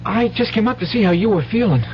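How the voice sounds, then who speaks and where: A man's voice: sits high, expressive, fast talker, faint music behind. An actor playing a polite, dutiful country boy with a youthful, folksy American voice in a radio drama. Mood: polite, earnest. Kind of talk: old-time radio drama acting. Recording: dull, noisy 1970s radio recording with hum.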